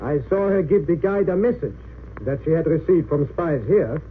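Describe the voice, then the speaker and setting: A thin, noisy old radio recording of an actor as a German sergeant turned spy. His high voice reports in a crisp, military manner. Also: animated, moderate pace, phone-quality sound, lightly acted. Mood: assured, accusing. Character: brisk German sergeant and smooth-lying spy witness. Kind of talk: 1930s radio drama acting.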